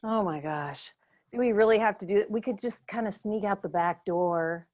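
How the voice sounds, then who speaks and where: A woman's voice: mid-range voice, normal speed, darkish tone, close to an everyday voice. Lady playing worn out woman interviewer in an improv sketch, nice and chummy, rambles a lot, medium voice. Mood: weary, chummy. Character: tired, politely upbeat female job interviewer.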